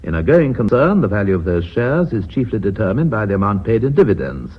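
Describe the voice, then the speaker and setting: An actor playing an older, titled company chairman in a radio drama, speaking in a measured, formal, orotund way. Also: fairly low pitch, sing-song, slightly rough. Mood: grave, explanatory. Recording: dull, muffled radio recording.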